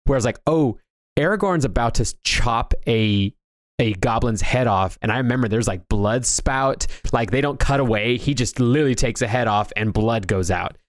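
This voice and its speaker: A man's voice: mid-range voice, normal speed, no room sound, studio quality. A man, chatting conversationally about films as a co-host. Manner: conversational, anecdotal. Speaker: talk show co-host. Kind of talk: casual film discussion.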